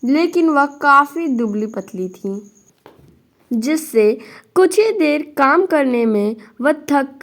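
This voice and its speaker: Slow, high-pitched woman storyteller. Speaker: narrator. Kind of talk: scripted children's story narration.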